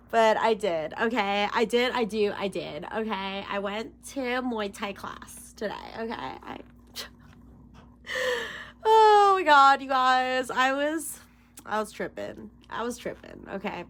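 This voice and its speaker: Giggly, foul-mouthed woman, higher voice. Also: a bit bright. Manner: chatty, profane, giggly, rambling. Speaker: tarot reader, vlogger. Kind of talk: casual rambling vlog chat.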